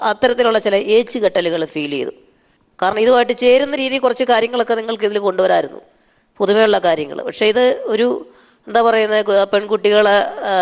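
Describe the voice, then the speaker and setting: A man gives unscripted feedback as a comedy show judge, often halting to search for words. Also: sits high.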